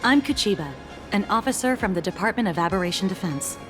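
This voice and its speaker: A woman's voice: mid-range voice, expressive, fast talker, over music, natural voice. A woman playing a composed, genial government officer in a video game, speaking politely and explaining things. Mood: genial, explanatory. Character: composed, genial female officer of an anomaly department.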